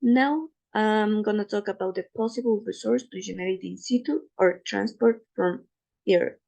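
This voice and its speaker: Gravelly-voiced woman presenting slides. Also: mid-range voice, dark tone. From Ecuador. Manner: careful, slightly halting non-native English with fillers. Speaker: student presenter.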